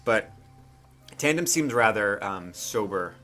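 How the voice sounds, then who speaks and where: Bright-voiced man running a tabletop game. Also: fairly low pitch, animated, slow pace, soft background music. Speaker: game master and host. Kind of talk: casual tabletop game narration.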